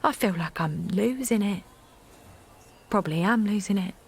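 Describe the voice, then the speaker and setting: An actress playing a worn-out young mum. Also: female voice, mid-range voice, creaky, breathy edge. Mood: weary. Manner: halting, apologetic, often softly spoken. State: tired, overwhelmed. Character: exhausted young mother Linda, frazzled and hesitant. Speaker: narrator and lead character. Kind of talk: audio drama acting.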